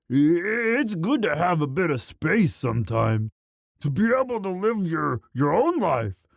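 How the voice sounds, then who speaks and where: A man playing a young orc, a gentle himbo sweetheart who talks in a halting, stammering, bashful and earnest way in a romantic roleplay audio. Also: on the high side, creaky, no echo, studio quality, exaggerated voice. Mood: bashful, fond.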